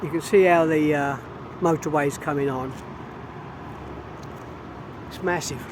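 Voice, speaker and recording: A man casually vlogging as he walks outdoors along a city street, rambling with pauses and restarts, his voice a little hoarse. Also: a little high, steady tone. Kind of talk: casual walking vlog. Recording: street traffic noise behind. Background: traffic noise.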